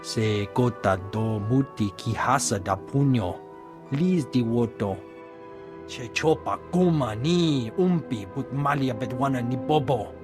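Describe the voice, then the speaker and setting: A male alien in a sci-fi game, voiced by an actor. He talks in an invented tongue at medium pitch, with music clearly audible behind. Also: unhurried, lightly acted. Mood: theatrical. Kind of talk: video game cutscene acting. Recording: prominent music playing behind.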